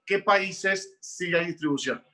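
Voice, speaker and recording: A man's voice: high voice, unhurried, bright, forceful tone. A man hosting a live online event over a video call, upbeat and appealing directly to his audience. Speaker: event host.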